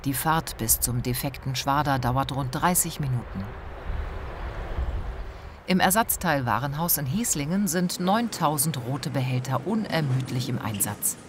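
A woman narrates a television report in an even, informative voice. The read is measured and unhurried, with a steady hiss under it. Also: extremely low pitch. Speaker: documentary narrator. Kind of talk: scripted voice-over narration.

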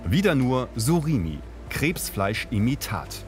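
Male voice-over for a food report, animated pitch, music underneath. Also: a little slow. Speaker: documentary narrator. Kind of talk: scripted narration.

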